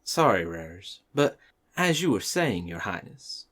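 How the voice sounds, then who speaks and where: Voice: low voice, sing-song, unhurried, fairly bright tone, full character voice. An actor voicing a suave, roguish pony father with a courteous, sly manner. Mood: charming. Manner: smooth-talking, courteous, sly. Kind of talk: animated fan audio drama.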